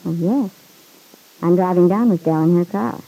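A woman's voice: on the low side, normal speed, creaky, dark tone, low-quality recording. A woman playing a chatty, plain-spoken young roommate in an old-time radio serial, with breezy, slangy, folksy talk. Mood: casual, chatty.

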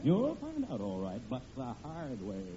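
A man's voice: mid-range voice, huge pitch swings, a little slow. An actor playing a blustering, jovial middle-aged boss and doting father, theatrical, in old radio comedy style. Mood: stern, indignant. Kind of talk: 1940s radio comedy acting. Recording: muffled, noisy vintage radio recording.

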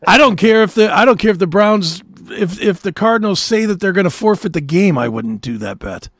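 A man's voice: sits high, animated, brisk, fairly bright tone, no echo, clean studio sound. A middle-aged man co-hosting a talk show, bantering casually about sports in a blunt, argumentative, opinionated way.

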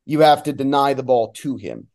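A man's voice: mid-range voice, moderate pace, a little mellow. A man talking as a sports announcer guest, giving emphatic, opinionated analysis in a conversational way.

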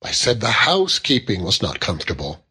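A man voicing a fond, earnest young Victorian husband who gently reproves and reasons, in a storybook reading. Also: fairly low pitch, huge pitch swings, a little fast, husky, exaggerated voice. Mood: earnest, reproving.

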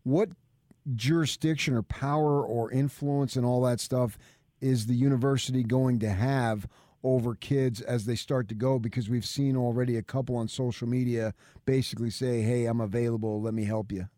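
A man's voice: medium pitch, fairly even intonation, normal speed, mellow, no room sound, studio quality. A man working as a radio interviewer, putting questions in a conversational way.

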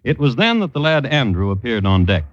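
A man narrating a 1950s radio drama in a wry, formal, measured storytelling manner. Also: medium pitch, sing-song, slight background noise. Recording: dull old radio recording.

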